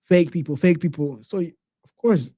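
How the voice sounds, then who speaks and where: A man hosts a podcast in a loose, casual way, dropping slang and "bro" as he talks. His voice is warm and mellow and sits a little high for a man. The sound is dry and close, with almost no room in it. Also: measured pace, no echo. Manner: relaxed, slangy, says "bro" often. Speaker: podcast host.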